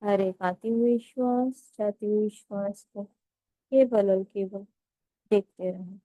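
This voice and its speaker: Woman guiding meditation, mellow and even. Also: medium pitch, dry recording, poor audio. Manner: slow, measured, gently instructive with pauses. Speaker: meditation guide. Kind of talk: guided meditation instruction.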